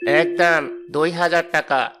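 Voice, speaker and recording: A man's voice: a little high, steady tone, slow. A man voicing a brusque, businesslike shopkeeper in a cartoon. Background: background music.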